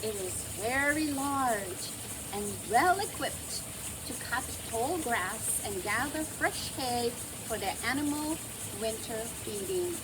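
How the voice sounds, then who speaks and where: High, lilting, slow woman storyteller. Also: lively intonation, background noise. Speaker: children's storyteller. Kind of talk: expressive scripted storybook narration.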